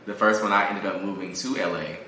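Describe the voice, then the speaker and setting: A man chatting casually as an interview guest, telling stories in an animated way. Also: on the low side, fairly quick. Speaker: guest, singer-songwriter. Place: echoey room. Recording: very echoey room, faint noise.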